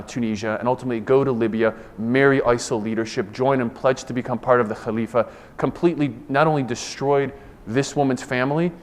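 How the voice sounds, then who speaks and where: A man in his forties from Ohio, giving a formal conference presentation as a government official. He speaks earnestly and deliberately, often restarting and repeating words. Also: medium pitch. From Ohio, United States. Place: conference room.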